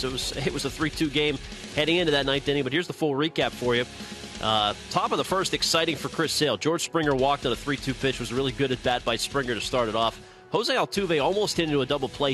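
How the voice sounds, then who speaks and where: A man's voice: mid-range voice, bright, forceful tone. A man hosting a baseball postgame radio show, recapping the game in a conversational broadcaster's delivery. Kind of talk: sports postgame recap and commentary. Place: ballpark broadcast booth.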